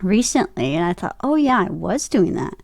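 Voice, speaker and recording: A woman chatting casually as an interview guest, telling stories in an animated way and acting out her own reactions. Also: mid-range voice, moderate pace, gravelly, no room sound. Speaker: guest, voice actor and podcaster.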